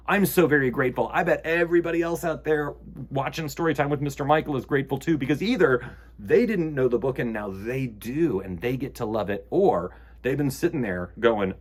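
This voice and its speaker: Husky, highly animated male storytime host. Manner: expressive, dramatic pauses. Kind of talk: storybook reading and chat to children.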